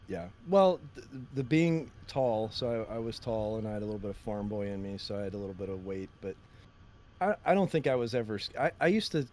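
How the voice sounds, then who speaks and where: A man co-hosting a podcast with a deep voice, telling rambling stories and laughing often in casual chat. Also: expressive, brisk, darkish tone. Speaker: podcast co-host.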